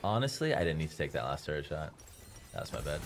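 A man's voice: very deep voice, sing-song, fast, slight background noise. A young man whose first language is Farsi streams a game and chats with his viewers in a joking, self-mocking way, speaking into a headset in a small room. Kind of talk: casual stream commentary. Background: faint game music.

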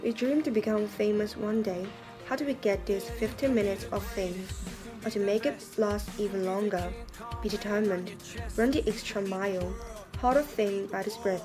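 A woman's voice: mid-range voice, lightly acted. A woman playing an earnest, moralizing talk show host in a scripted radio drama, reading didactically.

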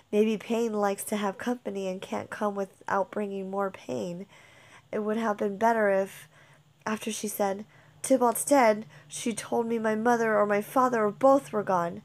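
A woman's voice: mid-range voice, voice pushed down, natural voice. A woman reading a play aloud and voicing Juliet, a passionate young bride torn by grief, in an emotional, declamatory manner. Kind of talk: dramatic reading of a modernized play.

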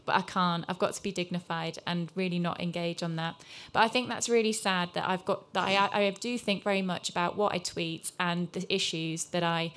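Female panelist, journalist, candid and earnest, flat delivery, table mic. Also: lowish voice, normal speed, few pauses. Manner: earnest, candid, with frequent ums. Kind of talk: panel discussion remarks.

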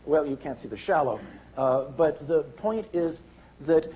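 Halting older man giving a lecture. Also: fairly high pitch, animated, warm tone, slightly rough, poor audio. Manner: halting, many restarts and fillers. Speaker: keynote speaker. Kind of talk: lecture with slides. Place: lecture hall.

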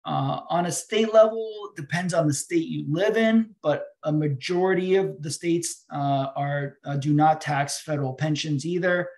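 A man's voice: on the high side, normal speed, hardly any pauses, darkish tone. A middle-aged man answering webinar questions as a financial advisor over a video call, explaining with frequent hesitations.

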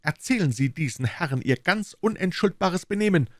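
A man's voice: sits high, animated, normal speed, dry recording, studio quality, voice pushed up. A man doing a pompous, preening police inspector. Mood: smug. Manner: boastful, condescending. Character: pompous, self-satisfied male police inspector. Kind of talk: audiobook character voice.

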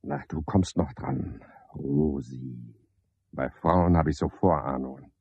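An actor playing a garrulous, pompous, scheming porter in a radio play, wordy and self-important, speaking in rambling clipped phrases. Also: male voice, rumbling low, slow pace, husky, no room sound, exaggerated voice. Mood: scornful, boastful. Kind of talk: radio play monologue, theatrical. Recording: dull 1970s radio play recording.

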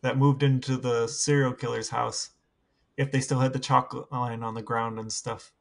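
A man's voice: medium pitch, level tone, normal speed, creaky. A man co-hosting a podcast, chatting casually and asking questions. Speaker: podcast co-host. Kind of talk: casual chat and interview questions.

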